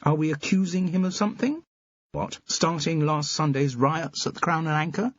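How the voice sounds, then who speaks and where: A man voicing a sceptical, sharp-tongued woman who questions her friend sardonically. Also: on the high side, moderate pace, no room sound, dull audio, voice pushed up, lightly acted. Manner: sardonic, impatient.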